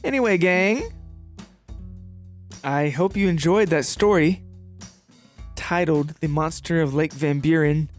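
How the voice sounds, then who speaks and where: A man's voice: fairly high pitch, takes it slowly, pauses often, faint music behind. A man hosting his story podcast, chatting casually to listeners in a playful, joking, self-deprecating way. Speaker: podcast host and story writer.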